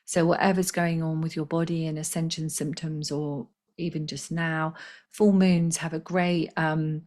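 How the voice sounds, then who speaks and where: A woman with a slightly croaky voice, hosting a live spiritual healing session in a gentle, chatty way and reading out viewers' comments. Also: deep voice, little pitch movement, unhurried. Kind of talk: casual live-stream talk.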